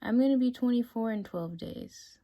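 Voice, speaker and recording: A woman in her twenties chatting casually in a personal vlog, full of "like" and "um", sometimes dropping to a soft, hushed tone. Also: a little high, normal speed, dry recording, dull audio. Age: 20s. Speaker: vlogger. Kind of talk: casual confessional vlog chat.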